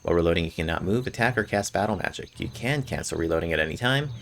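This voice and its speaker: A man's voice: low voice, expressive, quick pace, vocal fry, a bit bright, a little hiss or hum. A man hosting a gameplay video, casually commenting and reading game text aloud in an informal way. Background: faint game music.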